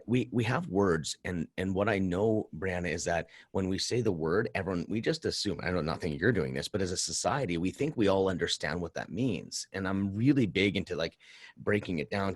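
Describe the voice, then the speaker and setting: A man's voice: sits low, breathy edge, no echo, clean studio sound. A man hosting a podcast in casual interview chat, effusive and rambling, often repeating his words. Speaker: podcast host.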